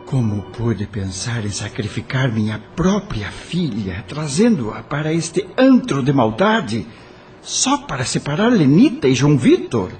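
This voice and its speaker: A man's voice: mid-range voice, very animated, measured pace, a little mellow, soft background music. A man playing a guilt-ridden, apprehensive father in an audio drama, with an anguished delivery. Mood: remorseful.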